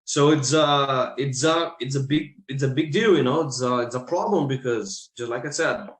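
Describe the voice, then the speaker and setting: A man from Brazil with a Brazilian accent and Portuguese as his native language, talking informally as an English teacher in a halting, repetitive way. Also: medium pitch. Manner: halting, repeats phrases. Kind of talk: informal interview discussion. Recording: roomy, echoing sound.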